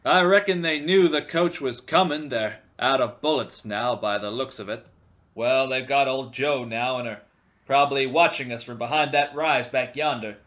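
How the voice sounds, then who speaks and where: A man's voice: medium pitch, moderate pace, a bit bright, dull audio, natural voice. A man playing a grim young cowboy gunman who talks in a terse, plain, commanding way in a Western audio drama. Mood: grim, steady.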